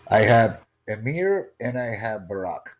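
A man's voice: on the low side, very animated, unhurried. A man hosting a casual video chat, earnestly promoting his language course and repeating himself. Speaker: language-learning channel host.

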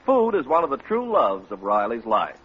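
A man's voice: medium pitch, wildly swooping pitch, moderate pace, slightly rough. A man announcing in 1940s radio style, declamatory and mock-heroic. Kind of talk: 1940s radio scripted narration. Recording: dull, noisy old radio recording.

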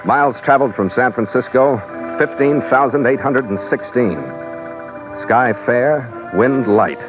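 An American man acting an easygoing sea captain who narrates his adventures in measured, vivid, dryly understated old-time radio style. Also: medium pitch, lightly acted. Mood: measured, formal. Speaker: lead actor and narrator. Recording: muffled vintage radio recording. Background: loud dramatic music.

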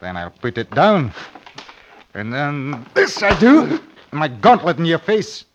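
Hot-headed young lover, highish voice, slow and super melodramatic, old radio. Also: male voice, wildly swooping pitch, heavily acted. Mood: challenging. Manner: melodramatic, halting, drawn-out delivery. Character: passionate, hot-headed young Scottish suitor. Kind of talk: 1950s radio acting. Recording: vintage radio with faint noise.

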